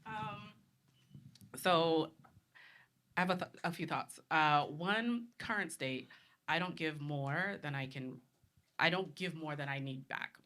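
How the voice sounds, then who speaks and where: A woman's voice: low-pitched, takes it slowly, pauses often, a bit bright. A woman in her thirties from the Bahamas, a panelist speaking bluntly and confidently in a live discussion.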